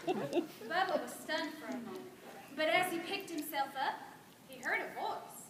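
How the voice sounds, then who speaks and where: A woman's voice: extremely high pitch, lively intonation, measured pace, a bit bright. A woman narrating a scripted storybook tale in amateur reader's theater. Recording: echoey room, distant microphone, background noise.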